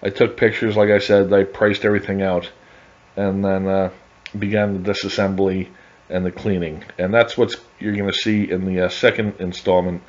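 A middle-aged man with a low voice talks casually over his photos, often pausing on fillers and restarting his sentences. The recording is dull and lo-fi, lacking crisp top end. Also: little pitch movement. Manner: halting, many uh fillers and restarts. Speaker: hobby car restorer narrating his project.

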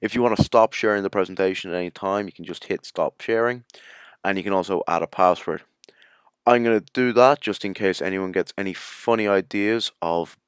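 Low-pitched man narrating a software tutorial. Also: smooth, no echo. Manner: informal, explanatory, step-by-step. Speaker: tutorial presenter.

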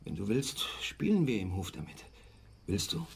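A man playing a gentle, evasive father and husband in a naturalistic radio drama, soft and hesitant. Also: fairly low pitch, big pitch swings, normal speed, vocal fry, slight background noise. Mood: soothing.

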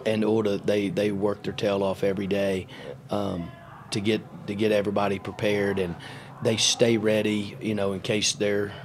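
A middle-aged man, a basketball coach, answering questions at a postgame press conference in a halting, matter-of-fact way, with many fillers and restarts, into a table microphone. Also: on the low side, level tone, slight background noise. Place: press room in front of a sponsor backdrop. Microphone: table mic on a stand.

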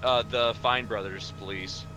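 A man's voice: on the low side, steady tone, slow pace. A man chatting casually with friends during a video game playthrough. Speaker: voice actor in a group game playthrough. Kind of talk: casual group banter over gameplay. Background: video game music.

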